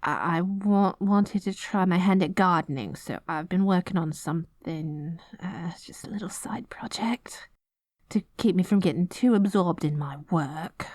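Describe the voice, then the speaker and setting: A woman's voice: lowish voice, a little slow, a little mellow, dry recording, clean studio sound. A woman playing a dorky, lovestruck evil queen in an ASMR roleplay, stammering and flustered between pompous villainous proclamations. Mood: awkward, eager. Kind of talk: ASMR roleplay audio drama.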